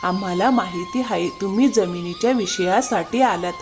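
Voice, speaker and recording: A woman playing a warm, concerned village mother in an animated moral story, speaking in an expressive, emotional way. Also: medium pitch, moderate pace, music in the background, close to an everyday voice. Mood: warm, touched.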